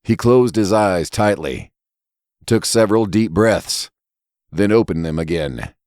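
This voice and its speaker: Man, fiction narration, deep pitch, close dry sound, deliberate and expressive. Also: dry recording. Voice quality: low-pitched voice. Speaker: story reader and podcast narrator. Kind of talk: scripted fiction narration, audiobook reading.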